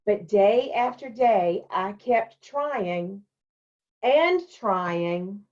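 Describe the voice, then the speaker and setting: A woman's voice: medium pitch, lively intonation, a little mellow. Woman reading to children, dull lo-fi recording. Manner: simple, deliberate phrasing aimed at young children. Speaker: elementary school staff member reading a picture book to children. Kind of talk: children's storybook read-aloud, addressing young pupils.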